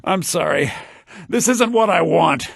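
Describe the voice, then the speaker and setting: A man's voice: high voice, expressive, measured pace, creaky, slightly rough, no room sound, studio quality, heavily acted. A man playing a frightened, desperate video game character who pleads in panic as if forced against his will. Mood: panicked, pleading.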